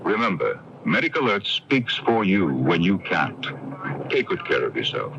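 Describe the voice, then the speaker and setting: A man reading a scripted public service announcement in a deliberate, earnest way. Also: deep voice, wildly swooping pitch, vocal fry. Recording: noisy, dull old radio broadcast.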